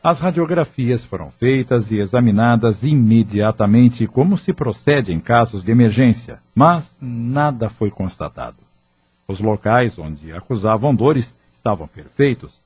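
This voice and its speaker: A man's voice: medium pitch, breathy edge, dry recording. A man reading scripted, dramatic narration for an audio novel. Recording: muffled audio drama recording with music.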